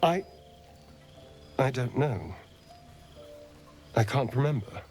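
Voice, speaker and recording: An actor playing a sardonic, vain vampire in a video game, theatrical, arch and drawling. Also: male voice, medium pitch, sing-song, takes it slowly, darkish tone, faint music behind. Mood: wistful, bitter. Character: sardonic, vain male vampire, newly free and power-hungry.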